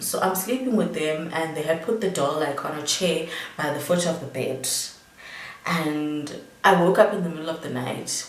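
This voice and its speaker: Young South African woman vlogger, a bit tipsy, loose rambling delivery; roomy sound with the mic at a distance. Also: deep voice. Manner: rambling, chatty, many fillers. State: tipsy from drinking. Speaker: beauty vlogger. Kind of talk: casual storytime chat.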